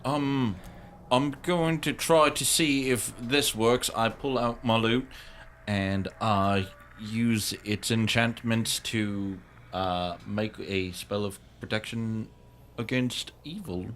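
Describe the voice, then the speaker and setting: A man playing a tabletop game, improvising in a halting, deliberate way. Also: lowish voice, fairly even intonation, fairly bright tone, slight background noise.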